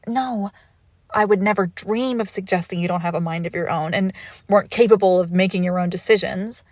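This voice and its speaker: A woman playing a suave, controlled villainous ex-lover in an intimate audio roleplay, with measured formal phrasing and soft breathy pauses. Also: medium pitch, no room sound, clean studio sound, close to an everyday voice. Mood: exasperated, protective. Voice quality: creaky, often dropping into whisper. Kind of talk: scripted romantic audio roleplay, intimate and slow.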